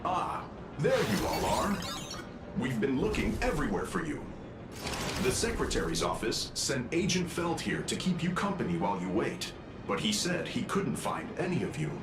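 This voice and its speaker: A man's voice: medium pitch, wildly swooping pitch, moderate pace. A man voicing a polite, efficient corporate aide in a video game, formal and businesslike. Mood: relieved, courteous. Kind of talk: video game character dialogue. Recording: roomy echo, music underneath.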